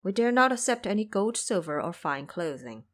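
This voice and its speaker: A woman voicing a humble, pious male monk master in an audio drama, measured, formal and earnest. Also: medium pitch, big pitch swings.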